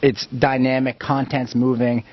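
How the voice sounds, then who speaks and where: A man working as an interviewer, with a husky voice and an informal, affirming way of asking wordy questions. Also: medium pitch, flat delivery, measured pace. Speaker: interviewer, host. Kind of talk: casual on-camera interview. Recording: roomy, dull lo-fi audio.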